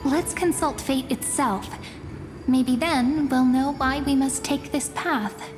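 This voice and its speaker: A woman's voice: high-pitched, steady tone, slow pace. A woman playing an enigmatic fortune-telling guide in a fantasy game, composed, musing and mysterious. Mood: calm, mysterious. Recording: long hall reverb under music.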